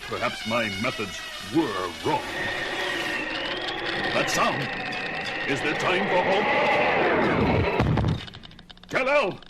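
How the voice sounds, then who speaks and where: A man's voice: a little high, wildly swooping pitch, extremely slow, long pauses, over loud music, exaggerated voice. A man playing a proud, stern alien policeman with superpowers in a superhero cartoon, formal and melodramatic. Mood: despairing.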